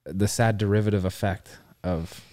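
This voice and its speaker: A man's voice: fairly low pitch, steady tone, moderate pace, dark tone, no echo, clean studio sound. A man co-hosting a podcast, asking questions in a searching, stammering way with many restarts. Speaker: podcast co-host. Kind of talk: conversational interviewing.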